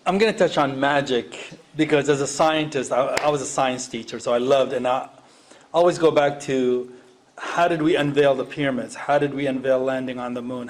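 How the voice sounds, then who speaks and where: A man from Iraq speaking unscripted on a panel, emphatic, repeating phrases and posing rhetorical questions. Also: medium pitch. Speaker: education panelist, former science teacher. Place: live panel event.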